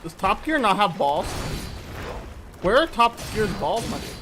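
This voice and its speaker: A man's voice: sits high, sing-song, measured pace, music in the background. A young man co-hosting a gaming stream, trading laid-back, joking banter with his co-player. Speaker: streamer co-host. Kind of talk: casual gaming chat.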